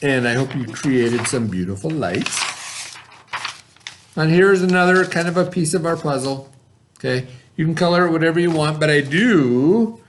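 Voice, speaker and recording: A man's voice: on the high side, expressive, moderate pace, background noise. A man teaching young children, reading a story aloud and then reviewing it with patient questions.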